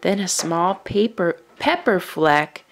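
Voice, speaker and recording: Animated, slow-paced woman reading a storybook. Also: lowish voice. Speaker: children's storytime reader. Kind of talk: expressive picture-book read-aloud.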